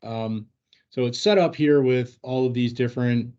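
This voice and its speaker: A man's voice: medium pitch, normal speed, darkish tone, clean tone. A man with a mid-range voice gives an informal walkthrough to colleagues on an online call. His manner is easygoing and conversational. The audio is muffled and lo-fi. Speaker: presenter in training meeting. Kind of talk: informal screen-share walkthrough. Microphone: online meeting call. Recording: dull, lo-fi online meeting audio.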